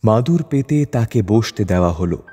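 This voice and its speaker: A man's voice: fairly low pitch, animated, moderate pace, warm tone, slightly rough. A man narrating a literary short story from a script. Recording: long reverb over music bed.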